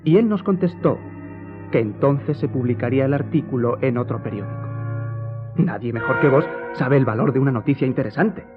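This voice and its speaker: A man's voice: medium pitch, fairly quick, natural voice. A man playing a complacent, self-assured newspaper editor in a radio drama. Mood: smug, unbothered. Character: smug, unbothered newspaper editor of a government paper. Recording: thin phone-like audio with music.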